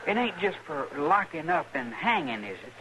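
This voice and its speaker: A man's voice: a little high, sing-song, normal speed, pushed and bright. An actor playing a naive, stubborn young farm boy with a rural American accent and a hesitant, folksy manner, in radio western style. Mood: earnest, hesitant. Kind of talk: old-time radio western acting. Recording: noisy old radio drama recording.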